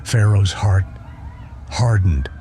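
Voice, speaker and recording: A man giving scripted, theatrical first-person narration for an audio drama in a solemn, measured manner. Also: low voice, lively intonation. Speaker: narrator and voice actor. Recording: prominent music behind. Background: music.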